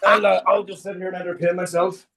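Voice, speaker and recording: A man's voice: sits high, flat delivery, quick pace, a bit bright, a little hiss or hum. A man guesting as a musician, laid-back and easygoing, trading banter. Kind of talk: casual interview chat.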